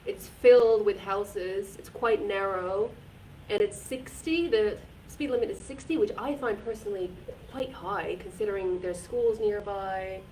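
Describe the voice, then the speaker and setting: A woman's voice: medium pitch, a little slow, mellow. A woman from Finland, co-hosting a casual live chat in an earnest, talkative way, with plenty of "like" and restarts. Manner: earnest, chatty, with frequent "like" and restarts. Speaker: co-host. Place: community repair centre. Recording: distant mic with faint background noise.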